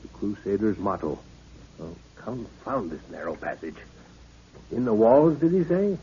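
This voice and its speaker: An actor playing a brisk, commanding middle-aged expedition leader and father in 1930s radio adventure style. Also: fairly low pitch, very animated, dark tone. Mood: brisk, pondering. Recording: dull, noisy 1930s radio recording.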